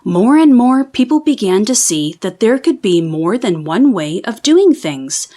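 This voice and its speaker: A woman reading a children's book aloud as an expressive storyteller. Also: medium pitch, a little slow. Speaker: storybook reader. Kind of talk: children's read-aloud narration.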